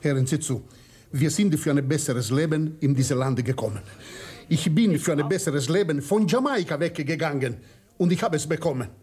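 A husky, grieving immigrant father. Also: on the high side, animated, normal speed, darkish tone. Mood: bitter grief. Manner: pleading, accusing questions. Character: grief-stricken Jamaican immigrant father of a murdered daughter. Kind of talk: radio drama acting. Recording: studio radio play with music behind.

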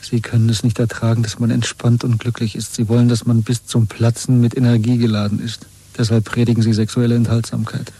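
A husky, soft-edged male voice as a disillusioned rebel in a 1970s radio drama. He speaks with brooding, earnest intensity, like someone confiding forbidden thoughts. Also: medium pitch, completely flat delivery, a little fast, hardly any pauses. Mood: bitter, intense. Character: disillusioned, brooding rebel man in a dystopian state. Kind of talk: 1970s German radio play acting.

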